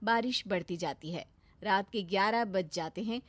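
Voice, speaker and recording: A woman narrating a moral story in a clear, steady storytelling manner. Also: medium pitch, normal speed, no echo.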